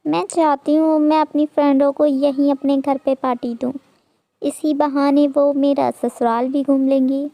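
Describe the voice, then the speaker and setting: A woman voicing a bold, breezy young bride, in a high put-on voice. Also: flat delivery, moderate pace, warm tone, no room sound. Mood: eager.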